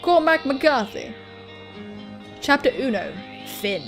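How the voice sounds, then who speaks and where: A woman with a fairly high voice hosts a visual novel read-through. She moves between narration and playful, irreverent casual commentary, expressively delivered, with game music behind her. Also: big pitch swings, slow pace, vocal fry. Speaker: gaming video host.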